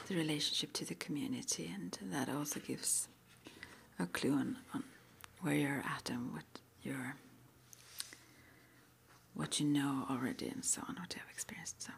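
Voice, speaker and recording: A woman whispering in an ASMR roleplay as an interviewer, breathy and halting, with gentle questions. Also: low-pitched, steady tone, a little hiss or hum. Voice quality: breathy, mostly unvoiced whisper. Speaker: ASMR roleplay performer as witch school interviewer.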